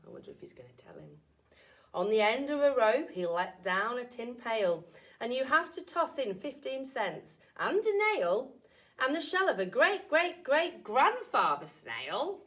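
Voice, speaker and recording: Teacher lady reading to kids, highish voice, super lively, little asides about the pictures. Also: measured pace. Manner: expressive, exclaiming, addressing young children. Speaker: primary school teacher reading aloud. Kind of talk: children's storybook reading with asides.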